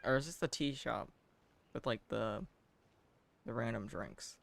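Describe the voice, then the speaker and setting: A man's voice: medium pitch, unhurried, vocal fry, fairly bright tone. A man giving casual gameplay commentary as a streamer, with dry, teasing asides. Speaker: game streamer. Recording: stream with game music behind.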